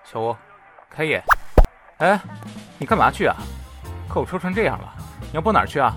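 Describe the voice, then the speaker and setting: A man's voice: on the high side, big pitch swings, moderate pace, loud music behind the voice. An actor playing a brusque, unbothered male veterinarian in an audio drama, curt and teasing. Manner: offhand, curt, mocking. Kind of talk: modern audio drama acting.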